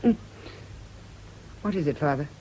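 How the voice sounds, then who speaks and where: An actress in a radio drama playing a brittle, defiant, suspicious spinster daughter who speaks curtly and coldly. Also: female voice, low-pitched, animated, a little slow, mellow, slightly rough, full character voice, low-quality recording. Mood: guarded. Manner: curt, cold, snapping.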